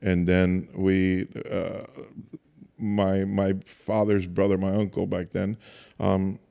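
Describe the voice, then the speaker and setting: Low-voiced halting Cuban-born man. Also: level tone, vocal fry, smooth. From Cuba. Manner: halting, restarts often, soft-spoken. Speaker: church staff guest. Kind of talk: casual interview.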